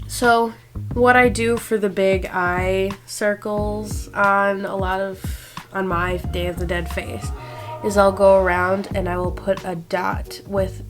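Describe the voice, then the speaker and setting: A woman in her twenties talks her way through a makeup routine, her voice stuffed up from a lingering cold. She chats casually and pokes fun at herself as she goes. Music plays clearly underneath her voice. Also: mid-range voice. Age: 20s. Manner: chatty, self-deprecating, thinking aloud. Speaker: beauty vlogger. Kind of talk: casual makeup tutorial talk.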